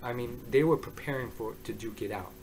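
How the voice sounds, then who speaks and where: A man with a mid-range voice talks through a review in a loose, excitable way, circling back and restarting his thoughts. His voice is hoarse. He sounds like a regular host speaking straight to his viewers. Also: mid-range voice, fairly quick, poor audio. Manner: excitable, rambling, with many restarts. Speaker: manga reviewer. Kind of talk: casual review monologue.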